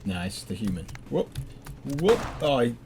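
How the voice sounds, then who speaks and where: A man chatting casually as he plays a game, making playful, deadpan jokes as co-host. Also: mid-range voice, moderate pace, over music. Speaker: gaming video co-host playing the game. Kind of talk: casual chat while playing a game.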